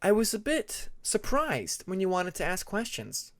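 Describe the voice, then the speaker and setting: A narrator voicing a young female dragon who is earnest and thoughtful, with a measured manner of explaining. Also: medium pitch, wildly swooping pitch, voice pushed up. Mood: gentle.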